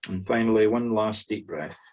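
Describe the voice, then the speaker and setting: Deep-voiced Scottish man guiding a meditation. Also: darkish tone. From Scotland. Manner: soothing, slow pauses between short instructions. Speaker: spiritual medium leading a meditation group. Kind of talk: guided meditation. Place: online video-call circle. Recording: video call audio.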